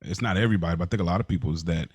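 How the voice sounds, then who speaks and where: A man co-hosting a podcast in casual, reflective chat, thinking out loud and searching for his words with rhetorical questions. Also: sits low, racing, dry recording. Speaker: podcast co-host.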